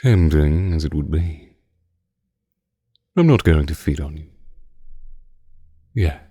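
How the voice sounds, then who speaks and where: A man playing a seductive, possessive vampire in an intimate ASMR roleplay, teasing his captive with condescending tenderness in a slow purr. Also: a bass voice, lively intonation, warm tone, breathy edge, no echo, studio quality, full character voice. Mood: amused, possessive.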